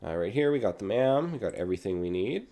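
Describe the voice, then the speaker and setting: A young man with a cold and a raspy throat, casually talking through a video game as he plays. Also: lowish voice, fast. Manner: relaxed, chatty, talks while playing. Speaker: gaming channel host. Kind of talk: casual gameplay commentary.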